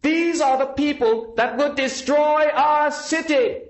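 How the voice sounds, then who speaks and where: A man voicing a commanding middle-aged woman leading a rally, speaking in a shrill, slow, declaiming voice. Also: roomy sound, poor audio.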